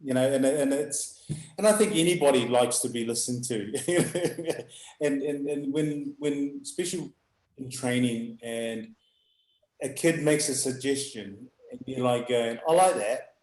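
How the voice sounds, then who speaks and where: A middle-aged man with a loud, booming voice, a youth rugby coach talking in halting bursts with frequent laughs. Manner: halting, restarts, laughs often. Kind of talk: live video call chat. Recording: echoey room.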